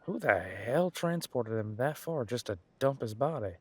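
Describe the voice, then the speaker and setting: A man's voice: mid-range voice, animated, normal speed, a little mellow, breathy edge, no room sound. A man playing a casual, wry police detective in an audio roleplay, musing in a conversational, hesitant way. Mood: puzzled, intrigued.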